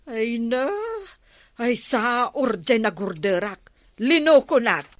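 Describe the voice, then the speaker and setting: A woman's voice: a little high, slow pace, dry recording. A woman acting an exasperated, gullible village captain's wife in a radio comedy drama, with an Ilocano accent and a whiny, exclaiming manner.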